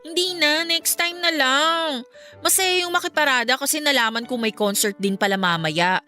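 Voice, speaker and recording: A carefree, breezy female friend. The voice is very high and bright, performed in radio drama style over music. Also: moderate pace. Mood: breezy, casual. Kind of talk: Filipino radio drama acting. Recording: music bed under the dialogue.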